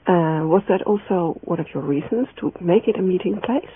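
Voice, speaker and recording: A man interviewing two magazine editors, asking halting questions while he searches for words. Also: high-pitched, husky. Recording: tinny radio line with faint noise.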